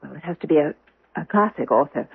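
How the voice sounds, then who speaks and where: An actress playing an earnest, firm housekeeper, prim and insistent, in an old-time radio drama. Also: female voice, deep voice, lively intonation, quick pace, vocal fry, slightly rough, heavily acted. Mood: eager, insistent. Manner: prim, insistent, searching for words. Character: earnest, firm housekeeper, a woman with a nagging hunch. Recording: thin, narrow-band old radio broadcast.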